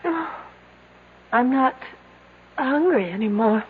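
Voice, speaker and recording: A woman's voice: high voice, lively intonation, slow. An actress playing a frightened, weakening woman trapped in a cave, pleading insistently in 1970s radio drama style. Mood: weak, despairing. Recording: tinny, hissy 1970s radio broadcast.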